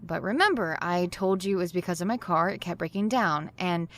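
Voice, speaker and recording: A woman's voice: fairly low pitch, a little fast, lightly acted. An actress playing a flustered, harried woman in an audio drama, speaking expressively. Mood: upset, disbelieving. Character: flustered, harried talk show woman, often late.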